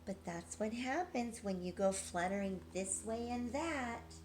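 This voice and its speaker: A woman voicing a caring mother sheep from a storybook, motherly and gently chiding. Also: medium pitch, lively intonation, measured pace, a little hiss or hum, close to an everyday voice. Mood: chiding, sympathetic.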